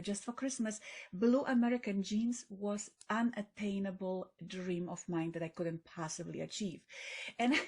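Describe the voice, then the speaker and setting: A woman's voice: mid-range voice, creaky, a little mellow, breathy edge. A middle-aged woman from Poland with a Polish accent, telling her story enthusiastically as a podcast guest. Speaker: guest, coach and author. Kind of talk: podcast interview.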